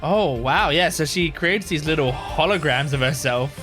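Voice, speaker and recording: A man's voice: fairly high pitch, normal speed, a bit bright, faint music behind. A man hosting a reaction video, casually thinking aloud in loose, rambling commentary.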